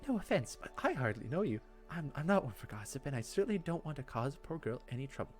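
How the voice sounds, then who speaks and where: A man's voice: medium pitch, sing-song, fast talker, faint music behind, pitched above the performer's own voice, lightly acted. A man voicing a folksy young woman who sells herbs in a fantasy game, friendly but guarded, with rustic turns of phrase. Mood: confiding, guarded.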